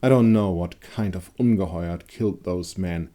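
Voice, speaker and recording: A man voicing a hardened older monster hunter, blunt, controlled and contemptuous, in a dark fantasy audio story. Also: sits low, moderate pace, natural voice. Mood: firm, menacing.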